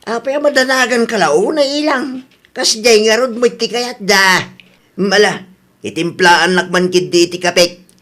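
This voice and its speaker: A man's voice: high-pitched, unhurried, sharp tone. A man playing a dismissive, grumbling older husband and father in an amateur radio drama, speaking curtly.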